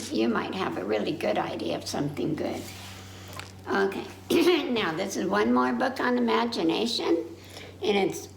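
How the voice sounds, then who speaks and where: A woman's voice: medium pitch, vocal fry. A woman leading a story time for young children, reading aloud in simple child-directed speech and putting questions to her listeners. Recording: roomy echo, faint background noise.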